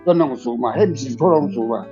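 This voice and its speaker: A man's voice: mid-range voice, quick pace. An older man with a Taiwanese accent in a casual literary discussion, emphatic and opinionated, often repeating his points. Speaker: author and discussion guest. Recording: dull lo-fi, music behind.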